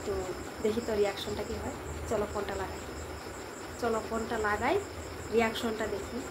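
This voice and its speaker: High-voiced woman vlogger, noisy recording. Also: little pitch movement, brisk. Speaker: vlogger hosting a prank call video. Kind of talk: casual chat to viewers. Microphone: phone camera.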